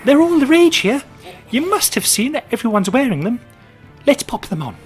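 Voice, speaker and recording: Excitable high-voiced chum, a man doing falsetto, lively swoops, soft music behind. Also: normal speed. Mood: chirpy.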